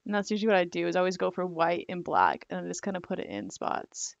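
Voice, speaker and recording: A young woman hosting a casual digital hair drawing tutorial, rambling with lots of fillers and apologetic asides, her voice croaky because she is losing it. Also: fairly low pitch, sing-song, fast, no room sound, highs cut off. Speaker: digital art tutorial host.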